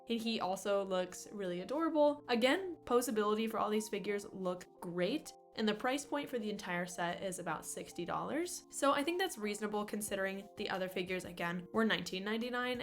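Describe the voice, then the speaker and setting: A young woman in her twenties with a congested voice from a cold, chatting fondly and upbeat as she reviews toys for her vlog. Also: mid-range voice. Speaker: toy collector vlogger. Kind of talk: casual toy review vlog.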